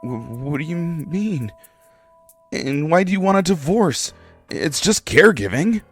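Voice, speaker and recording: An actor playing a meek, people-pleasing young husband who talks in a hesitant, pleading way, with faint music behind. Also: male voice, fairly high pitch, big pitch swings, a little slow. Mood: shocked.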